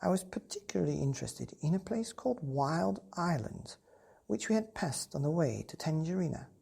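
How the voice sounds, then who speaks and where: Storybook old she-cat , woman performer, courteous and worldly, breathy rasp, animated musing delivery. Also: low voice, normal speed, heavily acted. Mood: musing, confiding. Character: polite, well-travelled old female alley cat.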